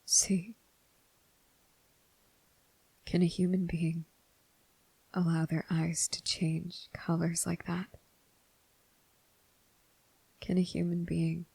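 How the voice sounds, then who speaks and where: A woman voicing an anxious, guilt-ridden young male vampire in intimate ASMR roleplay, breathy and husky, halting and earnest. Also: on the low side, darkish tone, no echo, exaggerated voice, rough recording. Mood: hushed, grave. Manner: halting, hesitant, earnest, pleading.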